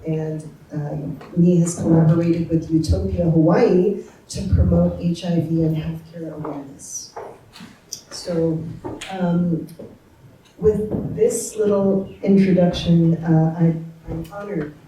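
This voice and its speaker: A woman introduces the next speaker at a community event, hesitant and full of fillers. Her voice is dark and mellow and rather low. She is picked up from a distance in a very echoey room with faint noise. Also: steady tone, slow. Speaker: event host introducing the moderator.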